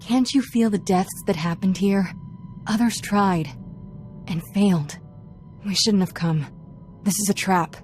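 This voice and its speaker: An actress playing a fearful, haunted young Jedi in a video game, speaking haltingly and ominously. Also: female voice, mid-range voice, darkish tone, faint music behind, lightly acted. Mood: dread. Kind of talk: cinematic video game acting.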